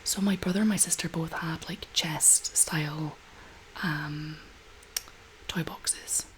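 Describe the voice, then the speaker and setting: Hushed, breathy woman doing ASMR. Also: low-pitched, fairly even intonation, rough recording. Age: 30s. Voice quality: breathy, whispery, slightly husky. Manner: gentle, hushed, unhurried. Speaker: ASMR video host. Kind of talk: soft-spoken ASMR, casual chat.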